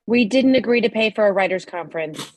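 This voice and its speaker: A woman plays a chatty middle-aged mother in a comedy table read. Her voice is husky and unclear at the edges, and she delivers judgment in a breezy, needling way. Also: medium pitch, lively intonation, brisk, natural voice, rough recording. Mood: particular.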